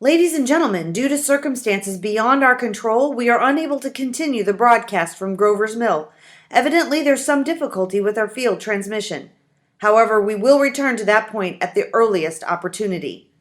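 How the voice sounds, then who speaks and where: An actress playing a formal, composed studio announcer reading bulletins in a 1930s-style radio drama. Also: female voice, on the high side, animated, fairly quick, lightly acted.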